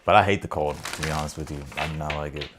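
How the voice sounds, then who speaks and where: A man's voice: a bass voice, steady tone, fast talker, background noise. An American man hosting a tarot livestream, chatting informally and rambling, often repeating his phrases. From United States, grew up in Ohio and Texas. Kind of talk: casual livestream chat and card reading.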